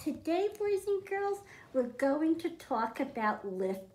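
A middle-aged woman hosting a children's storytime, speaking in simple, deliberate phrases meant for young kids. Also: high voice, big pitch swings, a little mellow. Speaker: children's librarian, storytime host. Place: small library room with bookshelves, indoors. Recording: roomy, echoing indoor sound.

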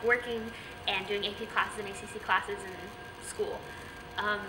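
A young college student talks candidly about her life, filling her sentences with "like." She sits in an indoor studio, and a clear noise runs under her mid-range voice. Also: medium pitch. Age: young adult. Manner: candid, filler-heavy with frequent "like". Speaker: interviewee, college freshman. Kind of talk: casual personal story.

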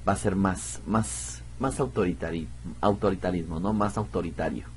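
A man's voice: deep voice, normal speed, a little hiss or hum. A man giving casual let's play commentary, musing aloud in a chatty, self-deprecating way. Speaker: gameplay streamer.

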